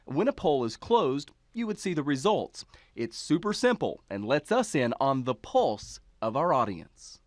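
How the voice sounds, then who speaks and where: A man's voice: mid-range voice, big pitch swings, measured pace, no echo, studio quality. An American man reading scripted narration for a TV news package as a reporter.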